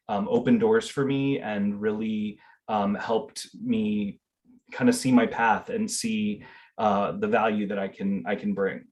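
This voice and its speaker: A man's voice: on the low side, darkish tone. A middle-aged man who grew up speaking Spanish, telling stories in a casual interview with many fillers and restarts. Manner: earnest storytelling with fillers and restarts. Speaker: interview guest.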